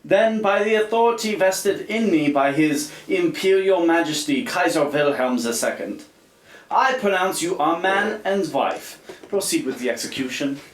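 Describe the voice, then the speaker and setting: An actor playing an imperious, pompous naval captain, commanding and formal, in a live radio play. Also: male voice, on the high side, lively intonation, unhurried. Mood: formal, officious. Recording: roomy live stage recording.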